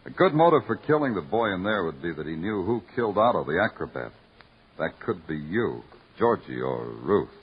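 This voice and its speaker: Hard-boiled, low-voiced radio detective. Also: male voice, husky, full character voice. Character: hard-boiled male New York police detective lieutenant, curt. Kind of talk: 1950s radio crime drama acting. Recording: scratchy muffled old radio recording.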